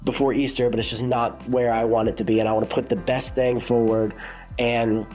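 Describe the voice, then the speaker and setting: A man's voice: medium pitch, little pitch movement, brisk, a little mellow, soft background music. A congested, sick man talks through a casual reflective vlog as a worship leader, rambling with many fillers and restarts.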